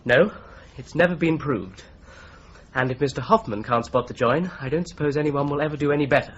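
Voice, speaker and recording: An actor playing a polite, methodical young law clerk who presses his points in a calm, persistent way, in a radio drama. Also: fairly high pitch, a little fast, a little hiss or hum, close to an everyday voice. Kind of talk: 1970s radio drama acting. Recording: slightly dull broadcast recording.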